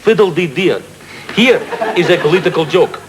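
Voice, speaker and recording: A man's voice: high voice, moderate pace, natural voice. A man playing a cheerful, defensive Soviet citizen with a Russian accent, delivering an earnest, stilted comic monologue. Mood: jovial, eager. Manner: earnest, declarative, stilted phrasing. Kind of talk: comic monologue to a live audience. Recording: very noisy broadcast comedy recording.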